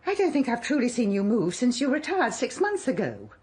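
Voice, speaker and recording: A woman playing a brisk, fussy wife in a radio play, with tart, deflecting remarks. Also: on the high side, big pitch swings, darkish tone, slightly rough. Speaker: radio drama actor.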